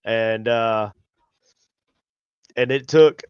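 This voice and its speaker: A man with a Southern US accent chatting casually on a podcast, rambling and folksy, quick to argue a point. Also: mid-range voice, clear, resonant tone, dry recording, dull audio. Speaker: podcast co-host. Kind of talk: casual chat.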